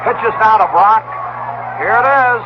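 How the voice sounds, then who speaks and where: A man's voice: high voice, unhurried, a crowd in the background. A man doing live play-by-play baseball commentary as a radio announcer. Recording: dull, noisy old AM radio broadcast.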